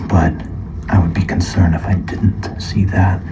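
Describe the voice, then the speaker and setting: Very deep, slow, soft-spoken ASMR man. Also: background noise. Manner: calm, measured, partly whispering. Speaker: guitar shop appraiser in a roleplay.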